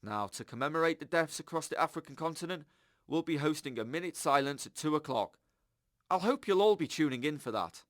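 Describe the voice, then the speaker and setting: An actor playing an earnest, upbeat radio host, chatting to listeners in an audio drama. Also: male voice, fairly high pitch, expressive, a little fast, fairly bright tone, no room sound, studio quality. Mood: chatty, sincere. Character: chirpy, earnest, government-trusting male radio show host.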